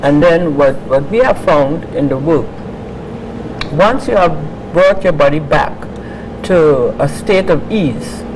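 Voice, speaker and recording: A man with a fairly high voice who lays out his ideas in a steady, explanatory way. There is clear noise behind him. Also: slow pace. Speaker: natural healing advocate. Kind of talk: interview explanation. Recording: noisy background.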